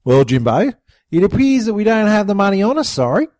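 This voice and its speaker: A man puts on a cocky young Australian bogan, talking his way out of trouble. He sounds high and lively, and the recording is dry. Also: a little fast, no room sound. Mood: cheeky.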